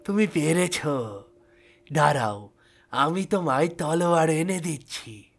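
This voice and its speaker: A man voicing a mysterious dwarf guardian in a fairy-tale cartoon, measured and deliberate. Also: fairly high pitch, expressive, gravelly, full character voice. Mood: relieved, approving. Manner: measured, deliberate riddle-posing. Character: mysterious male dwarf guarding a magic sword, testing riddler.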